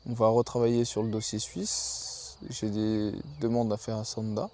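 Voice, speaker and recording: A man's voice: fairly low pitch, flat delivery, normal speed, a little mellow. A man keeping a casual spoken diary outdoors in the countryside, thinking aloud and often restarting his sentences. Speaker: video journal keeper.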